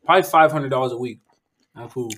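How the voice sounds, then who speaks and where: A man from Haiti hosting a podcast, relaxed and chatty and quick to laugh. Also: mid-range voice. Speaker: podcast host. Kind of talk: casual conversation.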